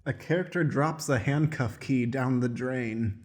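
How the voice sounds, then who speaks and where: A man's voice: medium pitch, measured pace. A man narrating scene directions as stage manager of an improvised radio play.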